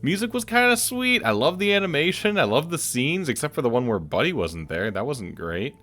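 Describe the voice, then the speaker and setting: A man's voice: a little high, big pitch swings, fairly quick, a bit bright. A man giving casual, chatty game commentary as host, playfully thinking aloud as he reacts. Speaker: gaming video host.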